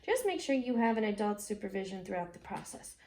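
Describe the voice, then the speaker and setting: A woman's voice: medium pitch, big pitch swings, fast, a little mellow. A woman with an American accent reading a picture book aloud and talking directly to children as the host. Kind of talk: expressive picture-book read-aloud with chatty asides.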